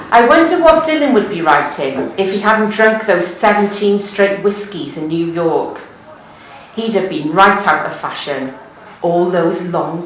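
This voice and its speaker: A woman's voice: lowish voice, fairly bright tone, a little hiss or hum. A woman from Wales with a Cardiff accent, reading poems aloud at a live event with chatty asides in between. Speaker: poetry reader. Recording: echoey room.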